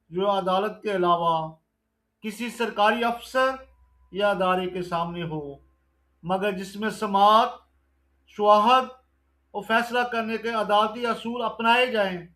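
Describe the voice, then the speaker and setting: A man with a Pakistani accent giving a scripted exam lesson in a formal, measured, deliberate manner. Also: high-pitched, a bit bright. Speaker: law exam lecturer. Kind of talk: scripted lesson narration, reading quiz questions and explaining answers.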